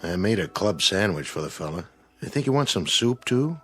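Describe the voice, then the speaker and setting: Casting: easygoing short-order cook, low voice that swings widely, radio play. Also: male voice, very animated, normal speed, a bit bright, faint music behind. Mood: concerned, puzzled. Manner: plain, folksy. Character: plain-spoken, easygoing roadside diner cook. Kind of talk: radio drama acting.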